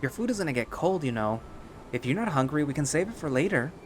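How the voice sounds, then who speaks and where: Man voicing a clingy, devoted furry creature for an audio story; high, bright, eager. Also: very animated, fast talker, a little hiss or hum. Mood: gentle. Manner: chipper, pleading.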